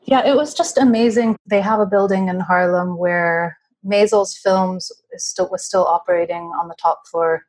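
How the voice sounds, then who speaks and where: Breathy-voiced woman filmmaker chatting remotely. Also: fairly low pitch, moderate pace, hardly any pauses, low-quality recording. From California. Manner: relaxed, chatty, with light laughs. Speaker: documentary filmmaker, guest. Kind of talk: casual interview answers. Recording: remote call audio.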